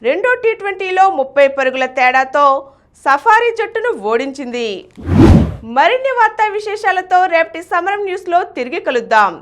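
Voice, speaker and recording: High-pitched, lively woman news reader. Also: moderate pace. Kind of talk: scripted news reading.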